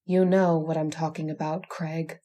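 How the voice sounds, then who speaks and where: A woman's voice: low voice, flat delivery, moderate pace, dark tone. A woman playing a bossy, jealous witch wife in a radio horror drama, teasing and slyly menacing. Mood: sly menace. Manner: imperious, teasing, sly. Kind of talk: old-time radio horror drama acting.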